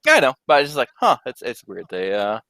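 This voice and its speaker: A young adult man in casual group conversation, speaking in halting, hesitant asides. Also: mid-range voice, very animated, pushed and bright, dry recording, studio quality.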